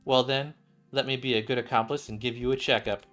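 A man's voice: mid-range voice, fairly even intonation, brisk, a bit bright, faint music behind, natural voice. A man voicing a concerned, composed woman doctor from a game.

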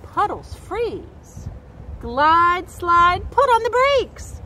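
A middle-aged woman reading a picture book aloud to young children in an expressive, carefully enunciated way, recorded outdoors. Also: extremely high pitch, very slow. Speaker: storybook reader and nature educator. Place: outdoors by a woodland creek. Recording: outdoor background noise.

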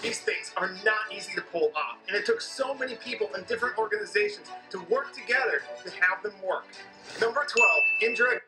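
A man narrating an online explainer video in an energetic, emphatic way, played back from the video. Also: high voice, fairly quick, rough recording. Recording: played through speakers with background music.

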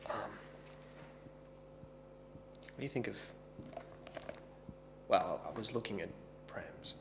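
Man playing a stuttering, remorseful boyfriend, lively pitch, roomy echo, casting note. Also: a little slow, creaky. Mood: hesitant. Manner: stammering, repeats himself. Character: guilt-stricken male partner named Alex. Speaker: actor in a drama scene. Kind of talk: emotional scripted acting. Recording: echoey room with noise.

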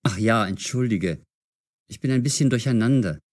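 An actor playing a cautious, curious young man in an audio drama for language learners, measured and clearly enunciated. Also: medium pitch, a little mellow, natural voice. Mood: flustered.

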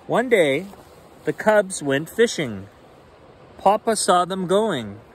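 A man in his forties reading a children's picture book aloud expressively, in simple, deliberate phrasing. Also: sits high, big pitch swings. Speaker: storyteller reading children's bedtime stories. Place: outdoors on a rainy forested shore. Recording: outdoor ambient noise.

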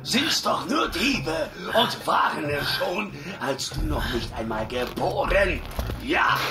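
An actor playing a loud, foul-mouthed, mocking thief in a fantasy audio drama, drawing out his taunts theatrically. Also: on the high side, sing-song, takes it slowly, over music, exaggerated voice. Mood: taunting.

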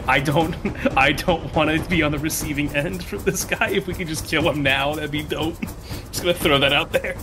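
A man's voice: a little high, steady tone, moderate pace, music in the background. A man playing a tabletop game, talking in casual, slangy style.

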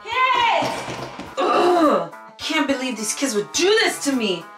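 A woman's voice: high-pitched, huge pitch swings, slow pace. A woman in her thirties playing a strict mom in a family skit, scolding and exasperated, in a living room. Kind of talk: scripted family skit acting. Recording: echoey room, far from mic, background music.

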